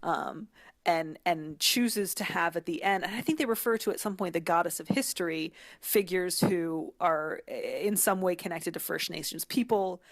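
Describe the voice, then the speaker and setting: A woman giving conversational analysis as a guest commentator, thoughtful and halting as she searches for words. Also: on the low side, no echo.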